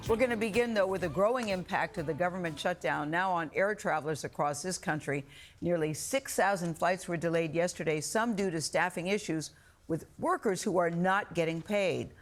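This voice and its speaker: A woman's voice: fairly low pitch, normal speed. A woman reading a scripted introduction as a broadcast news anchor.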